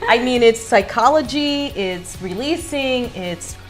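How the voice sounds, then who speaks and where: A woman's voice: a little high, slow. Effusive woman chatting on a lifestyle show. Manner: effusive, expressive. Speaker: cooking show host. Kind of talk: casual lifestyle show chat. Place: home kitchen, indoors. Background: background music.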